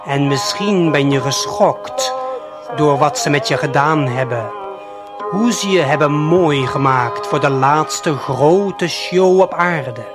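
A man narrating an audio play in the second person, deliberate and suspenseful, speaking straight to the listener. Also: a little high, animated. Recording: late 1970s vinyl LP production. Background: prominent music.

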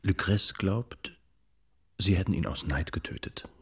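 An actor playing a sober, methodical investigator with a matter-of-fact manner in a radio drama. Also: male voice, low voice, a little slow, bright, forceful tone, slightly rough, heavily acted. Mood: sober questioning. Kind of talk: German radio drama acting.

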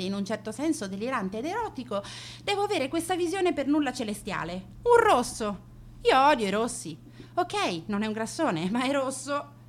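A woman's voice: medium pitch, a little hiss or hum, close to an everyday voice. A young woman acting a vain, scornful teenage schoolgirl who narrates her days in a theatrical, confiding radio monologue. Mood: dreamy. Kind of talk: dramatic radio monologue in present tense.

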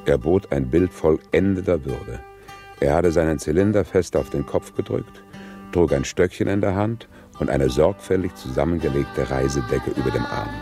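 An actor playing a solemn chronicler in a radio play, narrating in a measured, deadpan manner. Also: male voice, very deep voice, music in the background. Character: solemn male chronicler of a gentleman's last voyage.

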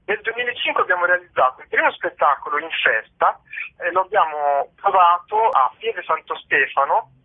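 A man's voice: high-pitched, sing-song, moderate pace. A man being interviewed about theatre work over the phone, explaining in a thoughtful, somewhat hesitant way. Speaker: interviewed theatre company co-founder and artist. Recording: narrow tinny phone line.

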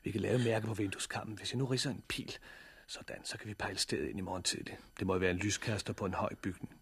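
An actor playing a practical, protective survivor of a catastrophe in a 1960s Danish radio drama, speaking in a measured, reassuring way. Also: male voice, lowish voice, steady tone, slightly rough. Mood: calm, reasoning. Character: pragmatic, protective male survivor of a global catastrophe.

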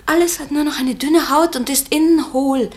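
An actress in a German radio play playing an anxious, haunted young wife who speaks in a hushed, fretful way. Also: female voice, high-pitched, steady tone, normal speed, natural voice. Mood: haunted, brooding.

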